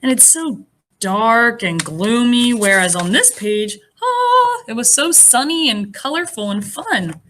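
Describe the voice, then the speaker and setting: A woman with a fairly high voice reads a storybook to first graders. She sounds like a teacher, expressive in her delivery, and she steps out of the text to explain and comment in simple, child-directed language. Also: measured pace, a little hiss or hum. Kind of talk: animated children's read-aloud with asides.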